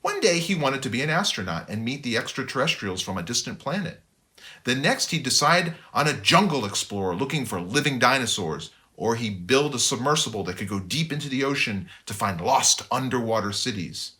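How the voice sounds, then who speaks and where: An animated man reads a storybook aloud for children. His voice is bright and swings widely in pitch, and he slips into chatty, expressive asides. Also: fairly quick. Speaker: author reading their book aloud.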